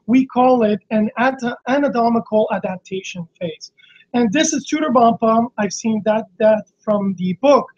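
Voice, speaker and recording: A hoarse man with laryngitis, a fitness coach teaching on a live stream in a halting, explanatory way with many restarts. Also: high voice, darkish tone. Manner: explanatory, frequent fillers and restarts. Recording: slightly dull lo-fi stream audio.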